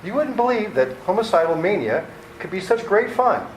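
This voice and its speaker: A man's voice: high voice, big pitch swings, normal speed. A man delivering scripted narration as the narrator of a radio theater show. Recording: echoey room with faint noise.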